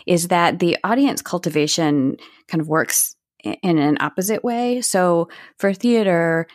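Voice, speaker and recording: A woman's voice: fairly low pitch, unhurried, no room sound, studio quality. A woman hosting a podcast interview, conversational and reflective, thinking ideas through aloud.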